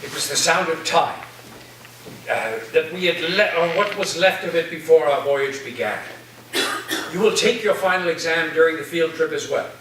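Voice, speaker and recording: A man's voice: fairly high pitch, expressive, moderate pace, a bit bright. Man playing an eccentric professor in an echoey room. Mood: commanding, earnest. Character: eccentric, commanding male professor acting as submarine captain. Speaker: actor in a staged play reading. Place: live staged reading in a reverberant room. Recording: echoey room, distant mic, faint noise.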